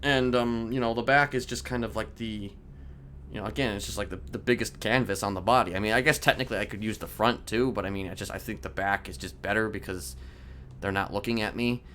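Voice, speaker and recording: A man playing a casually sadistic criminal in an online roleplay, talking in a halting, rambling, matter-of-fact way with casual swearing. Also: lowish voice, fairly even intonation, fairly bright tone, lightly acted. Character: rambling, casually sadistic male criminal confessing his violent deeds. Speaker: streamer role-playing a character. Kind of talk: improvised in-character roleplay dialogue. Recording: clear background noise.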